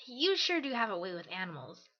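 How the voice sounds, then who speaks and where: A woman voicing a brash, confident young female pegasus pony in a fan audio drama, cocky, casual and energetic, on a slightly dull microphone. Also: medium pitch, normal speed, close to an everyday voice.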